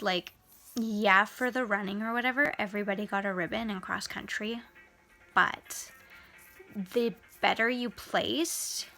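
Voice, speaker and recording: A woman's voice: mid-range voice, vocal fry, pushed and bright. A young Canadian woman rambling casually as she answers viewer questions, swearing freely and trailing off into whispers. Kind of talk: casual chat.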